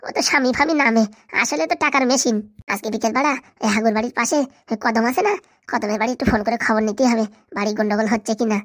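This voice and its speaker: A woman's voice: a little high, fairly even intonation, quick pace, no room sound, clean studio sound, lightly acted. A woman voicing a money-loving, mischievous village schemer in a comedy cartoon, conspiratorial and callous. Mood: gleeful plotting. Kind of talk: amateur comedy cartoon dialogue.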